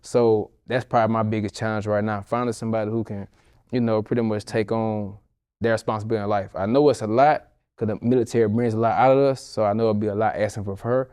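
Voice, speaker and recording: A man's voice: on the low side, steady tone, quick pace, darkish tone, smooth, no echo, clean studio sound. A man from Baton Rouge, an interview guest speaking in an easygoing way, full of slang. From Baton Rouge, Louisiana. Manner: easygoing, talks in slang and metaphors. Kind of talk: casual interview talk.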